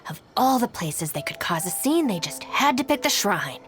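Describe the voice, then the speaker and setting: A woman's voice: medium pitch, very animated, normal speed, close to an everyday voice. A woman playing a stern, exasperated, dutiful young gang deputy in a video game, dry and no-nonsense. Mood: weary exasperation. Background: game music.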